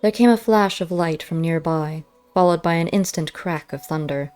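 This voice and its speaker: A woman narrating a first-person story as an audiobook, in measured, literary storytelling. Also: lowish voice, a little mellow, clear, resonant tone, soft background music.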